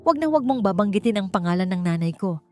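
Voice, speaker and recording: A woman's voice: mid-range voice, lively intonation, fast talker, warm tone, natural voice. Woman voicing a cold, furious, vengeful man. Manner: menacing, commanding. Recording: music under the voice.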